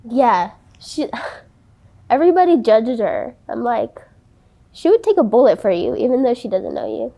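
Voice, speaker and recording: A woman of nineteen in a candid interview, speaking softly and haltingly with many ums and likes. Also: fairly high pitch, very animated, creaky. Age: young adult. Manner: hesitant, many ums and likes, soft-spoken. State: tearful, voice breaking.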